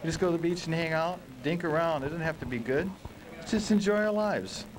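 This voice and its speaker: A man being interviewed as a board-making guest, talking in a relaxed, rambling, earnest way. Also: on the high side, fairly quick, far from the mic, background noise. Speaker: surfboard maker guest. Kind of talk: casual interview.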